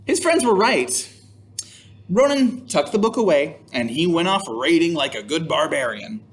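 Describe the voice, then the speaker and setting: A man's voice: fairly high pitch, sing-song, slight background noise. A man reading a picture book aloud to children as a storytime host, theatrical and exclaiming, with playful asides. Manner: theatrical, exclaiming, drawn-out emphasis.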